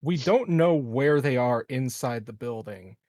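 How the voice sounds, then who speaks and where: A man's voice: mid-range voice, animated, a little slow, a little mellow, no room sound, studio quality. Wry man bantering at a game table.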